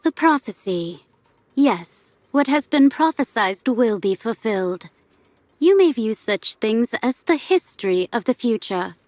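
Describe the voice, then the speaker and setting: An actress playing a calm, enigmatic woman who speaks in a solemn, measured, oracular way in a video game cutscene. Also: on the high side.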